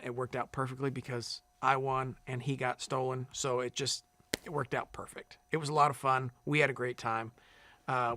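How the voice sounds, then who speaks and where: Relaxed man with a dry close sound. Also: mid-range voice, no echo. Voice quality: big loud singing voice. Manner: relaxed, rambling, many fillers and restarts. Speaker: singing contestant. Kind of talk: casual interview answers.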